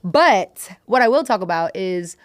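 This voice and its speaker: A woman's voice: medium pitch, lively intonation, unhurried, no room sound, clean studio sound. A woman talking candidly and informally as a podcast guest, peppering her sentences with "like". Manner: informal, heavy use of "like". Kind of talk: candid casual interview conversation.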